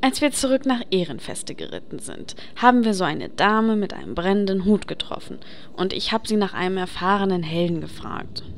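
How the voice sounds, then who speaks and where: A woman's voice: medium pitch, animated, moderate pace, slight background noise, lightly acted. A woman acting a chatty, vain, organizing adventurer in a comedic fantasy game audio drama. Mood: defensive.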